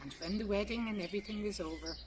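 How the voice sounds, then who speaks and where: A woman's voice: medium pitch, fast, far from the mic. A woman with an Irish accent narrating a traditional folk tale in a plain-spoken, colloquial way. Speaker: storyteller reading a folk tale. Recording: noisy recording with birdsong behind. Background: birdsong, ambient noise.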